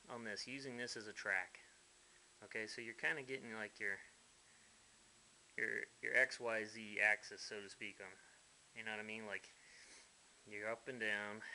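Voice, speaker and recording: Guy in his garage, lowish bright voice, blunt and folksy, some cussing, faint hiss. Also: rough recording. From United States. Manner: blunt, folksy, mildly profane. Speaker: hobbyist builder. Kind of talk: casual workshop walkthrough. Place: dim garage workshop.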